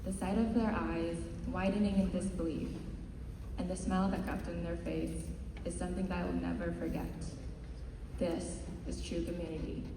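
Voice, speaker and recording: A teenage girl whose first language is Vietnamese tells her story in a heartfelt prepared speech, her voice a little low. She is heard from a distance, with a very strong, long echo filling the hall and a faint murmur behind. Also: level tone, moderate pace, noisy background. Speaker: student candidate giving a speech. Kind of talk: prepared speech read aloud. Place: school assembly hall. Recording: very echoey hall, distant mic.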